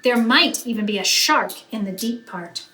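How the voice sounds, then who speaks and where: A woman reading a picture book aloud to children like a teacher, with expressive, emphatic narration that draws out key words. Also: fairly high pitch, moderate pace. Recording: roomy echo, faint background noise.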